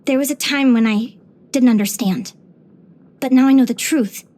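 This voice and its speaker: A woman voicing a cheerful, curious young adventurer and naturalist in a video game, with an earnest, expressive delivery. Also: high voice, normal speed, lightly acted. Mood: earnest, reflective. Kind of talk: video game character voice lines.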